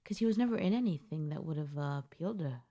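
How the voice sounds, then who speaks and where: A woman's voice: low voice, animated, fast, dark tone. A young woman co-hosting a podcast, chatting casually in a rambling, self-deprecating way. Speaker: podcast co-host. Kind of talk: casual chat.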